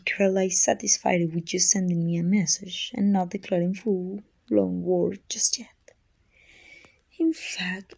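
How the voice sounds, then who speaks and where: A woman playing a dominant, haughty evil queen with a soft spot, musing imperiously in an ASMR roleplay. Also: mid-range voice. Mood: condescending approval. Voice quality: husky, breathy with whispered edges. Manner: imperious, musing, teasing condescension. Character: dominant, haughty evil queen softening toward her little helper.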